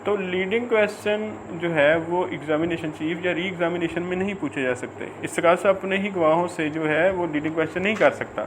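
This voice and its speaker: A man with a Pakistani accent explaining law in an informal lecture, haltingly and repetitively, mixing in English legal terms. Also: sits high, slight background noise, low-quality recording.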